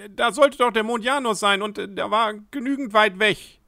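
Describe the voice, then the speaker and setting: A man voicing a hoarse, angry young girl space traveler who shouts indignantly in a storybook reading. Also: high voice, moderate pace, no room sound, studio quality, voice pushed up, exaggerated voice.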